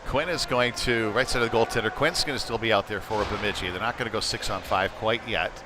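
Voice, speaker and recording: An American man giving casual, conversational live hockey play-by-play as a commentator, getting excitable when play heats up. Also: on the low side, fairly quick. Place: indoor ice rink. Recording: noisy indoor ice rink.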